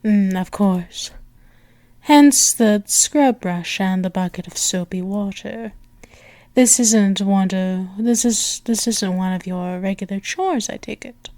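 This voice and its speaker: A woman playing an imperious demon princess in an intimate ASMR roleplay, teasing and tender, with regal pauses and breathy murmurs. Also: mid-range voice, mellow, dry recording, close to an everyday voice. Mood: intrigued. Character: imperious, seductive demon princess, amused and tender toward servant. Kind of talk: ASMR roleplay, intimate one-sided dialogue.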